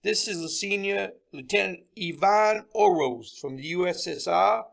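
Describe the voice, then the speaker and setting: An actor playing an authoritative military general in charge of a project, brisk and commanding, in radio drama style. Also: male voice, high voice, vocal fry, fairly bright tone, heavily acted. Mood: genial, hopeful. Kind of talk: old-fashioned radio drama acting.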